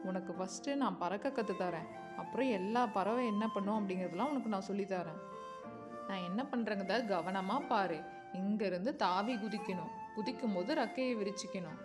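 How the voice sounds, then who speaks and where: A woman plays a storybook pigeon, friendly and sure of itself. The mid-pitched voice coaxes and instructs like a patient teacher. Music is clearly audible behind it. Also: normal speed, natural voice. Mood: instructive. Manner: coaxing, instructive. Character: friendly, confident pigeon, coaxing young teacher. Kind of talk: children's bedtime story character.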